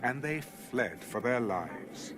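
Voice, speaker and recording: A man's voice: medium pitch, lively intonation, slow. A man narrating scripture as a dramatized audio production. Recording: music under the voice. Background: background music.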